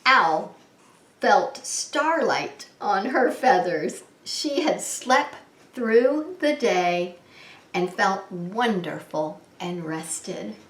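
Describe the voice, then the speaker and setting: Female preschool story time host, animated and drawn-out storybook delivery, roomy echoing recording. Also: mid-range voice. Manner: dramatic, drawn-out emphasis for small children. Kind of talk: expressive children's storybook reading.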